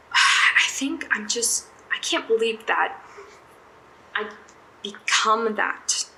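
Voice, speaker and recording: A woman playing an anxious, self-doubting young psychiatry intern in an audio drama, halting and flustered as she speaks. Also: mid-range voice, lively intonation, gravelly, pushed and bright, slight background noise, heavily acted, rough recording. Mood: dejected, self-critical. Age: young adult. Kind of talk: naturalistic audio drama acting.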